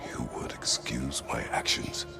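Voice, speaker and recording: An actor playing a grim, remorseful warrior god in a video game, speaking in terse, solemn, halting phrases with a gravelly voice. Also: male voice, lightly acted. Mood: grave, somber. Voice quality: gravelly, rumbling. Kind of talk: video game cutscene acting. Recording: game music behind.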